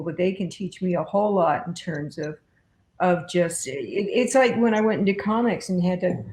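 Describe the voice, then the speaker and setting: A woman's voice: lowish voice, a little mellow. A woman giving casual, informal interview answers as a guest, with a self-deprecating way of talking. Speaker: podcast and comics producer, guest. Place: indoors at home. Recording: echoey room sound.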